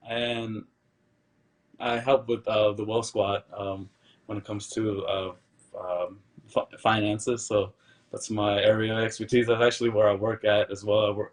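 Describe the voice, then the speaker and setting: Young man, from El Salvador, slightly deep, flat intonation, hesitant self-introduction, video call. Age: young adult. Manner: hesitant, unsure. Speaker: panel guest, accountant. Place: bare room, indoors.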